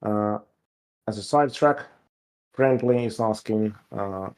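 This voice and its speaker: Gravelly low-voiced Finnish man. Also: slow. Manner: relaxed, hesitant, many fillers. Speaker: game developer. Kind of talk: casual livestream Q&A.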